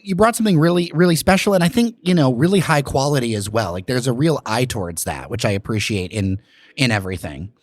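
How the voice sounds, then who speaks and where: A man's voice: medium pitch, expressive, fast, no echo, studio quality. A man hosting a podcast, chatty and full of fillers in a casual interview. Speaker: podcast host.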